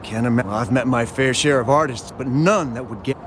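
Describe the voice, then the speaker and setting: A man's voice: medium pitch, brisk, background noise, natural voice. An actor playing a dazed male witness who rambles and trails off mid-sentence.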